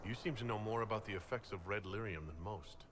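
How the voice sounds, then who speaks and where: Actor as sceptical male adventurer for a fantasy game: measured, probing, low and bright-edged, echoey. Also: natural voice. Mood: suspicious. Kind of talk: video game dialogue. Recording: echoey game audio, faint noise.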